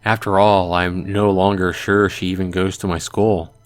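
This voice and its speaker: A man's voice: low-pitched, level tone, normal speed, no echo. A man reading a visual novel aloud as a let's play host, narrating expressively in the first person. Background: music.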